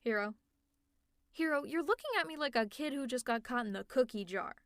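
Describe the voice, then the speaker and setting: A woman's voice: on the high side, animated, a little fast, no room sound, studio quality. A woman playing a teasing, exasperated, secretly caring female supervillain in an ASMR audio roleplay, with a sarcastic, conversational delivery. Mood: shocked, exasperated.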